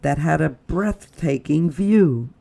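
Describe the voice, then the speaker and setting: A woman reading a children's picture book aloud as a storytime reader, with expressive delivery, dramatic pauses and drops to a whisper. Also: low voice, gravelly.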